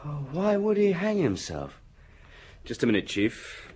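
An actor playing an attentive, questioning junior police detective in a radio drama. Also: male voice, fairly high pitch, huge pitch swings, measured pace, a little mellow, slight background noise. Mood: puzzled, observant.

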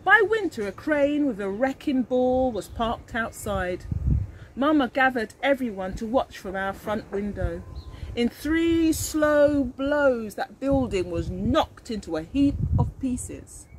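An older woman teacher reading a picture book to young children expressively, pausing to explain and ask questions. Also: fairly high pitch, animated, rough recording. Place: outdoors in a leafy garden. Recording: outdoor garden recording with birdsong and noise.

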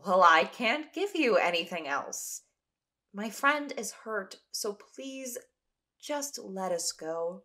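Wary, insistent female explorer for audio drama, unhurried delivery, medium pitch, acted by a woman. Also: expressive, bright, forceful tone, heavily acted. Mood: pleading. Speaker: lead actor in audio drama.

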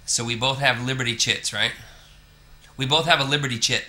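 A man's voice: medium pitch, fairly even intonation, brisk, pushed and bright. A man filming his own road trip, chatting casually to the camera with joking asides. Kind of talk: casual self-narration to camera. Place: on the road and in a parking lot.

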